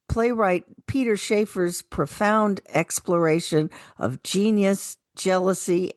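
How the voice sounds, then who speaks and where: Low-voiced female radio co-host, dry sound. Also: dry recording. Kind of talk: scripted community calendar announcements.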